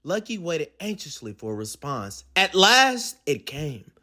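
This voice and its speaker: A man hosts a storybook read-aloud for children. His voice sits high for a man and moves around a great deal. He tells the tale expressively, with plenty of drama. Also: sing-song, unhurried, pushed and bright.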